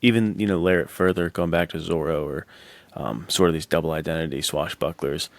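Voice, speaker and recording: A man with a very low voice and plenty of fry. He hosts a podcast and talks casually and keenly, in laid-back bro slang. The sound is dry and free of room echo. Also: steady tone, fairly quick. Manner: informal, bro-ish slang, enthusiastic. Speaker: podcast host. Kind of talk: casual enthusiastic chat about adventure stories.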